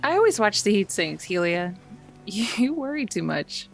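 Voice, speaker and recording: A woman playing a confident young mech pilot in an audio drama, speaking with quiet conviction. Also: medium pitch, very animated, measured pace, creaky, soft background music. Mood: teasing, reassuring.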